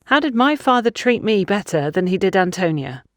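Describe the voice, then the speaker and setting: Composed female lead read by a woman narrator, earnest and measured, quietly probing, audio mystery, dry sound. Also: medium pitch, very animated, no echo, pitched above the performer's own voice, natural voice. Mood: hurt, earnest. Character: composed, probing woman with a grievance against her family.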